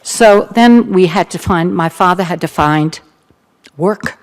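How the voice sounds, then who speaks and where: An older woman with a Baltimore accent, the keynote speaker, recounting her family's past in an earnest, reflective talk with gentle humor. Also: fairly low pitch, takes it slowly. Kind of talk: personal testimony talk.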